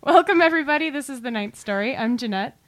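A woman's voice: high voice, lively intonation, fast talker, fairly bright tone. A woman co-hosting a podcast in casual interview chat, playful and self-deprecating. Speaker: podcast co-host.

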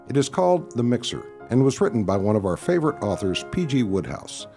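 Male announcer, scripted radio theatre introduction, lowish voice, expressive intonation, light background music. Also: gravelly.

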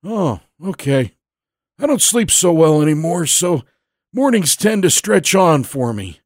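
A man voicing an elderly, frail war veteran in a storybook, with an aged, strained voice and slow, drawn-out, courteous folksy speech. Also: a little high, sing-song, no echo, clean studio sound, voice pushed up. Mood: weary, polite.

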